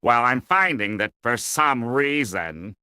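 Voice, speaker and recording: A man's voice: medium pitch, animated, slow, pushed and bright, clean tone, dry recording, clean studio sound, voice pushed up, heavily acted. A man voicing an explanatory character through an AI voice changer, right up on the microphone. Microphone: mouth right up to the microphone.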